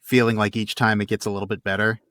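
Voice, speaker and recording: A man's voice: fairly low pitch, little pitch movement, fast talker, fairly bright tone, dry recording, studio quality. A man co-hosting a podcast, chatting earnestly and reflectively about a favorite book. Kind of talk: casual book discussion.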